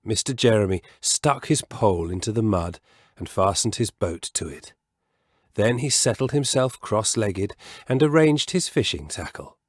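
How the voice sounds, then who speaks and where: Low-voiced man reading a children's story. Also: lively intonation, moderate pace, dry recording, studio quality.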